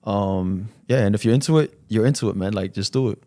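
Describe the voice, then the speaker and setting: Low-pitched man chatting in casual slang. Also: fairly quick, mellow, dry recording, clean studio sound. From Fiji. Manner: slangy, informal, peppered with "man" and "you know". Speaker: podcast guest, entrepreneur. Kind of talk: casual chat.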